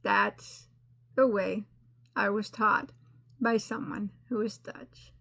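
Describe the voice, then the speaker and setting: A woman's voice: mid-range voice, fairly even intonation, slow pace, gravelly, bright, forceful tone. A woman hosting a crochet channel, chatting casually and rambling, laughing at her own mistakes. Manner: rambling and self-deprecating, with frequent restarts and laughs. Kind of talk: casual chatty crafting update.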